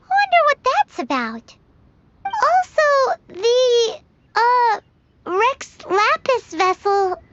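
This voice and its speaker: A woman voicing a tiny, cheerful, naive fairy companion in a video game, childlike and squeaky, curious and exclaiming. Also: sing-song, very slow, a little hiss or hum, heavily acted. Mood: curious, uneasy. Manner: childlike, exclaiming, speaks of self in third person. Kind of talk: animated video game dialogue acting. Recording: dull game audio with loud music.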